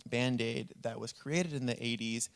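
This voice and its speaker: Man with a creaky voice, presenting earnestly. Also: medium pitch, fairly even intonation, unhurried. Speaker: food rescue nonprofit speaker, panelist. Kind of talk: conference presentation.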